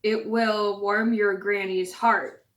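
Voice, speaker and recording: A woman's voice: mid-range voice, level tone, slow, roomy sound, lightly acted. A woman with a scratchy voice voicing a sly, hungry male wolf who talks pleasantly to fool a child in a storybook reading. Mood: coaxing. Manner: smooth-talking, coaxing.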